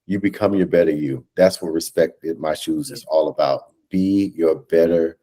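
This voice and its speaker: A man in his thirties speaking as an interview guest in a measured, emphatic, motivational way. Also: extremely low pitch, steady tone, dark tone. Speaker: guest, stage play director. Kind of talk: casual interview talk, motivational. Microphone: video call.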